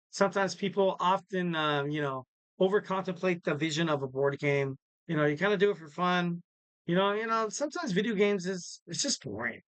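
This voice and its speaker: A man's voice: sits high, bright, forceful tone, no echo. A young man hosting a casual solo podcast, rambling and joking with lots of fillers and restarts. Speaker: podcast host.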